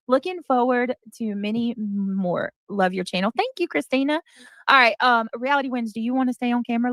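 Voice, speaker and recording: A woman hosting a casual livestream, friendly and soft-spoken, thanking members and greeting guests. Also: on the high side, no room sound.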